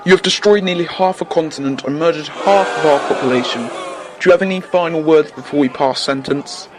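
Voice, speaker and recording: A man plays a merciless court judge in an audio drama. His voice is fairly high, formal and declamatory, over clearly noisy ambience. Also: brisk, lightly acted. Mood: stern. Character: stern, merciless male judge of an alien court.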